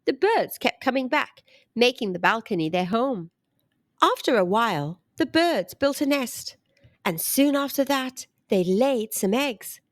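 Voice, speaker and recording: Female kids' storybook narrator. High, very animated read, expressive scripted delivery, dry sound. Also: measured pace, no echo. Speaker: children's storybook reader.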